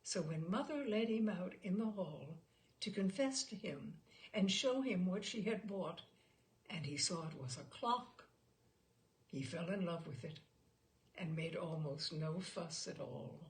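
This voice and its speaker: Woman, expressive story reader, lively swooping pitch, creaky voice, theatrical emphasis. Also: measured pace. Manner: animated, theatrical emphasis on key words. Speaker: storyteller and host reading short stories. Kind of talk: expressive literary story reading.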